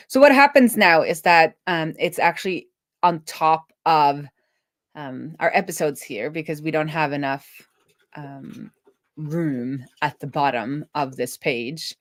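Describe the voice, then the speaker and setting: A woman's voice: deep voice, no room sound, studio quality. A woman live streaming a casual coding walkthrough, talking informally and thinking aloud to herself as she works.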